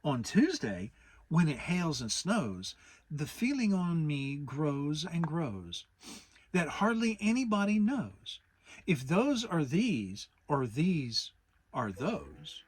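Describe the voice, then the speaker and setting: A storybook reader voicing a gentle, earnest, slow-witted bear with a halting, deliberate manner. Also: mid-range voice, big pitch swings, husky, no room sound, full character voice. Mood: earnest recital. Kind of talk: dramatic children's storybook reading.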